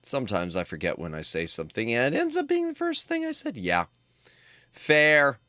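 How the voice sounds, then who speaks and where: A man commentating on a game live for his chat, joking and animated. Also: mid-range voice, moderate pace, dry recording. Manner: jokey, animated, talks to chat. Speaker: streamer.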